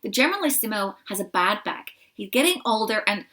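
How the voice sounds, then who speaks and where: A woman's voice: mid-range voice, sing-song, a little fast, sharp tone. A woman voicing a brash, straight-talking female rabbit trainer with an American drawl, bossing her elderly leader around. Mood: bossy. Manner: drawling, bossy.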